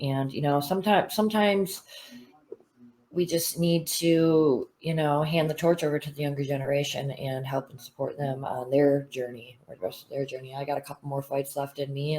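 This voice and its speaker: A woman's voice: low voice, warm tone. A woman in her thirties, a fighter, talking frankly in a casual interview, swearing freely and full of fillers. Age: 30s. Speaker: bare knuckle fighter.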